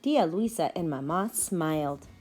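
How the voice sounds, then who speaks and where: A woman teacher reading a children's story aloud in a deliberate, animated storytelling manner. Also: sits low, slightly rough, soft background music. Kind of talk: slow expressive children's read-aloud.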